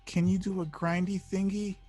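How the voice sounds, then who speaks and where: Man acting lovesick slacker guy, young, high voice, plaintive, husky, awkward, comedy table read. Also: flat delivery, normal speed, mellow, soft background music. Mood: goading, awkward.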